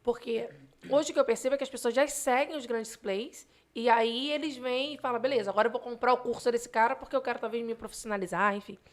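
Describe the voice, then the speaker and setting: A woman's voice: a little high, fairly even intonation, fast talker. A woman with a Rio de Janeiro accent, chatting casually and explaining things as a podcast guest. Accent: Rio de Janeiro Brazilian Portuguese. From Brazil, grew up in Rio de Janeiro. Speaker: podcast guest, digital marketer. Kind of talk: casual interview chat.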